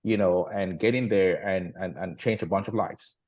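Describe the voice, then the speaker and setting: A man from Cameroon in his forties, a guest agency leader telling his story on a team video call, halting with fillers and restarts and then growing emphatic. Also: sits low, a little mellow, highs cut off.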